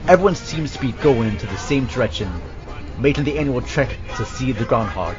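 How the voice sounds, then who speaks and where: Male host-narrator, lively intonation, casual yet theatrical script reading, muffled recording over music. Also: medium pitch, brisk.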